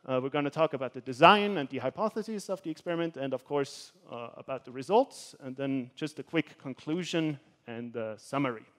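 A man's voice: medium pitch, fairly quick, hardly any pauses. A young man from Switzerland giving a university lecture with slides, hesitant and full of filler sounds as he walks around a hall stage. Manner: gestures while speaking, walks around the stage. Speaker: guest lecturer. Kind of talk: academic talk with slides.

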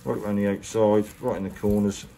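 Low-voiced English man, noisy background. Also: flat delivery, brisk, warm tone. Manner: colloquial, chatty, plain-spoken. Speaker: boat restorer presenting a how-to. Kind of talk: casual hands-on tutorial talk. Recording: clear background noise, handheld workshop recording.

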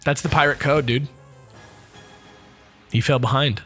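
A man's voice: mid-range voice, steady tone, measured pace, fairly bright tone. A young American man co-hosting a let's play, chatty and teasing, sharing trivia while playing. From United States. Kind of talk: casual gaming banter. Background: game music.

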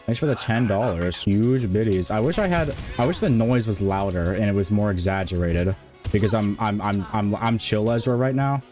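Male gamer streaming, low voice, monotone rambling, faint music behind. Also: normal speed. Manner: monotone, rambling, many restarts. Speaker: game streamer. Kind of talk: casual stream chat.

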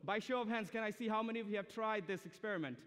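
Young preacher, high-pitched male voice. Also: fast, fairly bright tone. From Myanmar. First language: a language of Myanmar. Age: young adult. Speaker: guest preacher. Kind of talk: sermon to a student assembly. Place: large indoor auditorium stage. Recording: reverberant hall.

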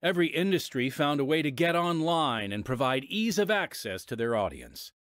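A man's voice: a little high, sing-song, moderate pace, fairly bright tone, dry recording, clean studio sound. A man hosting and narrating a scripted audio drama podcast with a dramatic storyteller's delivery. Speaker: host and narrator.

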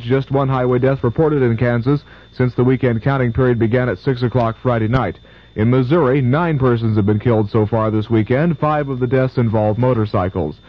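An American man reading scripted local news on the radio as a newscaster. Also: medium pitch. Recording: dull vintage AM radio recording.

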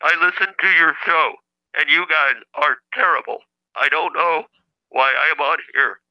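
A man voicing an indignant caller in a comedy phone-in, with a stilted, insistent, synthesizer-like flat delivery over the telephone. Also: a little high, moderate pace. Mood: offended. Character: indignant male caller claiming to be a famous scientist's brother, robotic-talking. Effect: telephone call. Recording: dull lo-fi phone line.

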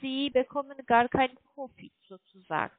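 High-pitched foreign-accented woman on phone. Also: no echo. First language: not German. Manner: insistent, halting, often seeking confirmation. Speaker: call centre telemarketer selling online trading. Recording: muffled phone line, fluctuating microphone volume.

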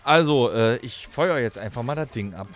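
A man's voice: medium pitch, lively intonation, moderate pace. A man in his forties with a plain mid-range voice, cracking dry, sarcastic jokes in a comedic podcast audio play. He talks into a studio mic in a small home studio. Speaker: podcast co-host.

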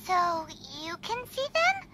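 A woman voicing a tiny, excitable, nosy fairy companion in a video game, squeaky and childlike. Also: takes it slowly, heavy background noise, exaggerated voice. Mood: eager, curious. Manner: refers to herself in third person, exclamatory. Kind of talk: animated video game dialogue. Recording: music playing behind the voice.

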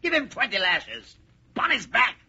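A man's voice: sits high, wildly swooping pitch, normal speed, pushed and bright, husky, full character voice. An actor playing an arrogant, contemptuous medieval nobleman in old-time radio style. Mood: scornful. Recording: dull old radio recording.

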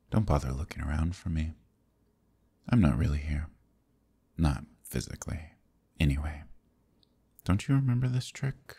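Guy doing a possessive demon king for asmr roleplay, dark mellow voice full of gravel, slow condescending taunts. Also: a bass voice, heavily acted. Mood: smug, wounded pride. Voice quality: gravelly, husky, breathy. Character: smug, possessive male demon king villain. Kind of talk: intimate ASMR fantasy roleplay.

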